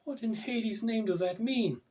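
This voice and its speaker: Guy doing scared young woman in an audiobook, pleading n indignant, pitched way up, bit far from mic. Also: unhurried, a little mellow. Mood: indignant, frightened. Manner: demanding, pleading.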